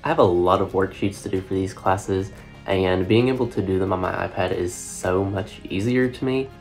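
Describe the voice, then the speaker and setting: A young man, a college student from Kentucky, giving an earnest, measured testimonial. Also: sits low, faint music behind. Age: young adult. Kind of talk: scripted testimonial.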